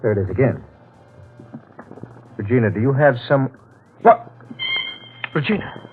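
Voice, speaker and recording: An actor playing an affectionate but suspicious husband in an old-time radio drama. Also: male voice, mid-range voice, animated, measured pace, natural voice. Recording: tinny band-limited vintage radio, music behind.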